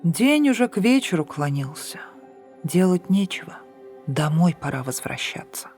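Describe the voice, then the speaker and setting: A woman narrating a fairy tale in an unhurried, hushed manner, at times softly whispery. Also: fairly low pitch, sing-song. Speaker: audiobook narrator. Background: soft background music.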